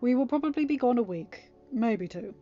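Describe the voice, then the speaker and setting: A woman's voice: fairly high pitch, animated, normal speed, muffled recording, soft background music, voice pushed up, natural voice. A woman voicing an affectionate, fatherly man in an audiobook story, casual, teasing and reassuring.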